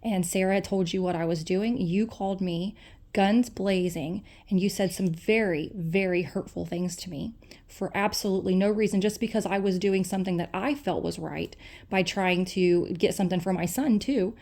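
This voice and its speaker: Adult woman, creaky and mellow in timbre, disputing family matters over the phone. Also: medium pitch, normal speed. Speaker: private caller in a family dispute. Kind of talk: personal phone argument.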